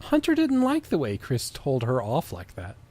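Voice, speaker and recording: A man's voice: mid-range voice, wildly swooping pitch, moderate pace, a little mellow. A man hosting a comic reading of fan fiction, mixing mock-grand narration with amused asides. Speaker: podcast host.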